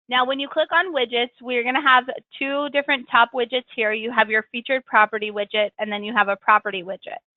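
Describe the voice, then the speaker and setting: A woman leads a weekly software tutorial in a friendly, rambling way, full of ums and quick self-corrections. Her voice is slightly raspy as she gets over a cold. She comes through a tinny, phone-like connection with no room sound at all. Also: fairly high pitch, steady tone, no echo. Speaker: software trainer hosting a weekly webinar. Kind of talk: screen-share tutorial walkthrough. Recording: tinny, dry call-line audio.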